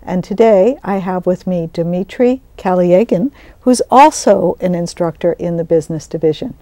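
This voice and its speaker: A woman's voice: on the low side, normal speed, mellow, no echo. A woman hosting an interview, asking questions conversationally as a college instructor would. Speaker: interview host.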